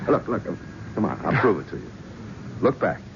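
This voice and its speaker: A man's voice: lowish voice, sing-song, fairly quick, background noise. An actor playing a worldly friend who teases and reassures a frightened man in an old radio drama. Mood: wry. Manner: wry, reassuring, prodding. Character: skeptical, worldly male friend. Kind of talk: 1970s radio drama acting. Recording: dull old radio broadcast with music.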